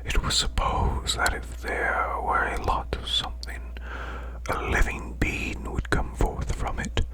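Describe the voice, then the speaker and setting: Guy doing a demon boss asmr bedtime story, slow growly whisper, hushed and soothing. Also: natural voice. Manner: slow, hushed, deliberate recitation.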